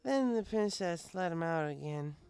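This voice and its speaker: A man's voice: a little high, expressive, moderate pace, vocal fry, a little mellow, clear, resonant tone, voice pushed up. A man voicing a drunk, giggly young female pony in a storybook, boastful and affectionate, with slurred, rambling speech. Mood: drunken boasting. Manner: drawling, rambling drunken talk. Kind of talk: audiobook character voice.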